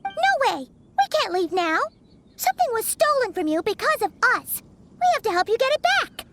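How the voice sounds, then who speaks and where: A woman voicing a tiny childlike female fairy companion in a fantasy game, excitable, anxious and loyal, with a squeaky, cutesy voice. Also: normal speed, slight background noise, exaggerated voice. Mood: insistent, worried. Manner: exclamatory, chattery. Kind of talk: scripted fantasy game voice acting.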